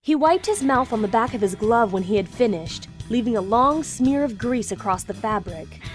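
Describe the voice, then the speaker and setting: A woman with a fairly high voice narrates an adventure story from a script, as the narrator of an audio drama. Music plays behind her. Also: animated, normal speed.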